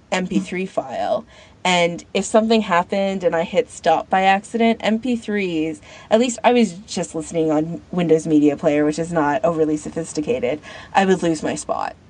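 A woman's voice: lowish voice, normal speed, darkish tone. A woman co-hosting a podcast in casual chat, rambling informally as she thinks aloud. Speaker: podcast co-host.

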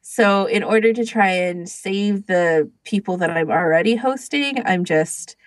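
A woman's voice: medium pitch, unhurried, dry recording. A woman hosting a podcast in casual chat, speaking in an informal, conversational way. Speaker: podcast host.

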